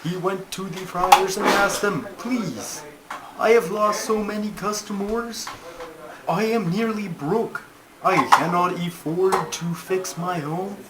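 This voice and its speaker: A man voicing a puppet turtle who tells stories in an earnest, bossy, deliberate way with formal wording. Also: sits high. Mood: earnest storytelling. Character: Terry, a slow, earnest, bossy storytelling male turtle puppet. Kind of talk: storytelling in a puppet comedy. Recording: clearly noisy homemade recording.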